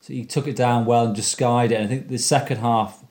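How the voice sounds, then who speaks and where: A man's voice: medium pitch, steady tone, fairly quick, a little mellow. A man on a fan podcast, talking through a football match in an earnest, analytical way. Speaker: podcast co-host. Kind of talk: casual fan podcast discussion.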